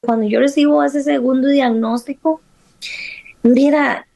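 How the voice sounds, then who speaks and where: Costa Rican woman with a gravelly voice. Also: high-pitched, steady tone, unhurried, warm tone. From Costa Rica. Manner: earnest, fervent, uses many fillers. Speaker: guest, singer. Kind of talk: personal faith testimony interview.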